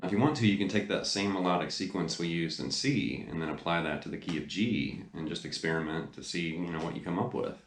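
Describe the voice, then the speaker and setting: A man's voice: deep voice, brisk, few pauses, heavy background noise. A middle-aged man giving a casual guitar lesson in a laid-back, conversational way. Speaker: guitar teacher. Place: small home studio room. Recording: roomy sound with loud guitar. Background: electric guitar playing.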